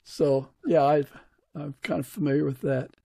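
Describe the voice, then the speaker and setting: A man's voice: fairly high pitch, fairly even intonation, moderate pace, creaky, mellow, husky. A man hosting a podcast, moving between scripted introduction and friendly interview talk. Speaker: podcast host.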